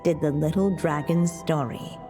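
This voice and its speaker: A woman voicing a solemn, loving witch mother in a video game, narrating gently and measuredly like a storybook. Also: deep voice, moderate pace, close to an everyday voice. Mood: gentle storytelling. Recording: long reverb, music behind.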